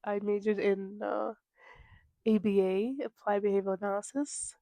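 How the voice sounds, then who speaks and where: A woman's voice: mid-range voice, fairly even intonation, moderate pace, warm tone, dry recording. A woman of twenty-three from New York, co-hosting a podcast in a playful, teasing way while she has a sore throat. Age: 20s. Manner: playful, laughs often, teasing. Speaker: podcast co-host. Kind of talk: casual chat. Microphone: small desk mic.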